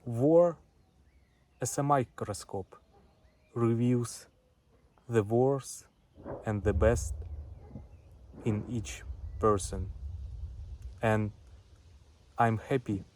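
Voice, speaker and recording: Very slow Ukrainian-accented man, noisy recording. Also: medium pitch, a little mellow. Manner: deliberate, broken into short phrases. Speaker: poet and front-line witness sending a message. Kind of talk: recorded spoken address to a poetry audience.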